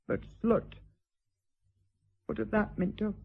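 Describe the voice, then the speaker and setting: A woman's voice: medium pitch, fairly even intonation, slow, vocal fry, breathy edge, full character voice. An actress playing a middle-aged, vulgar, hard-drinking lady mistress in a radio drama, declamatory, vain and fearful, lurching between coarse bluntness and maudlin grandeur. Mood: bitter, brazen. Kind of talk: theatrical radio drama acting. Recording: thin, phone-like band-limited radio drama audio.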